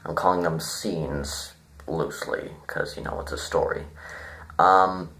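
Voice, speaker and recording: A man giving an informal, rambling critique to viewers, deadpan and self-deprecating, halting as he thinks aloud. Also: a bass voice. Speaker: video reviewer.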